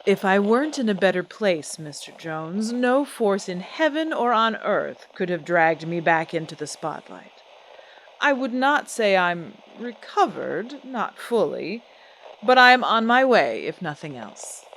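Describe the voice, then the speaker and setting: An actress playing a proud, guarded singer and stage star, theatrical and poised in an old-time radio drama style. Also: female voice, medium pitch, very animated, unhurried, a bit bright, a little hiss or hum, rough recording. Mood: resolute, dramatic. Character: proud, guarded female singer-actress, a glamorous celebrity diva. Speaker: celebrity interview guest.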